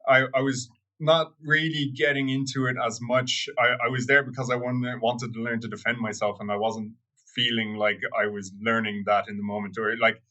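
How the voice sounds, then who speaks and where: A young Irish man answering interview questions in a thoughtful, measured way with some fillers. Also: medium pitch, little pitch movement, bright, forceful tone, low-quality recording. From Ireland. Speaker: guest.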